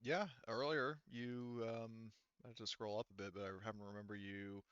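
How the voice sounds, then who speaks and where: A man running an online tabletop game as game master, explaining rules haltingly as he thinks aloud. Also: on the low side, steady tone, fairly bright tone, clear, resonant tone, no room sound, dull audio.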